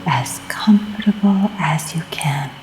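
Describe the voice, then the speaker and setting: A woman narrating a scripted guided meditation in a soft, breathy, often near-whispered voice, calm and soothing, in short deliberate phrases. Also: low voice, slight background noise. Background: music.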